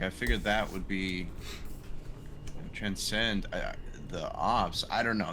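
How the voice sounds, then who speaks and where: A man playing a tabletop roleplaying game, speaking casually and haltingly, partly in character. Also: lowish voice, a bit bright. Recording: faint background noise.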